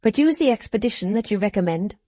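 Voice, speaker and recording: A woman doing a calm, dignified young man. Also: mid-range voice, quick pace, no echo, close to an everyday voice. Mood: restrained. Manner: calm, restrained.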